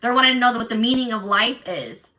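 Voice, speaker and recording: A woman doing a casual tarot reading for her viewers, talking in an assertive, loud way with lots of restarts and "like". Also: a little high, fast. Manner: animated, many restarts and fillers. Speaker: tarot reader.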